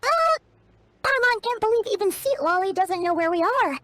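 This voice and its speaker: A woman voicing a tiny, excitable, childlike fairy companion in a video game, with a squeaky voice and naive, exclamatory manner. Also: moderate pace, no room sound. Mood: worried, puzzled. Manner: exclamatory, naive, refers to self in third person. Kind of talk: animated video game voice acting.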